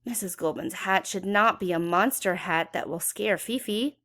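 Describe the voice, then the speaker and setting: A woman's voice: mid-range voice, normal speed, close to an everyday voice. Woman voicing an earnest, fretful young storybook girl. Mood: worried. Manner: expressive, earnest storybook dialogue.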